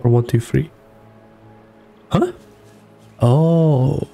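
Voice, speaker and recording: A young man reacts live to a video game in a husky, creaky voice with a dark tone. He rambles and mutters with playful exasperation over faint music. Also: medium pitch, drawn-out words. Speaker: game streamer. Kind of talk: casual live gameplay reaction.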